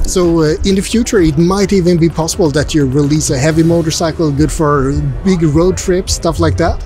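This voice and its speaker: A man's voice: on the high side, moderate pace, a little mellow, soft background music. A man in his forties vlogging in a busy indoor car expo hall, chatty and upbeat, asking visitors questions through a handheld mic. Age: 40s. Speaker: travel vlogger. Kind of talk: casual vlog with voice-over narration. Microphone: handheld furry mic close to the face.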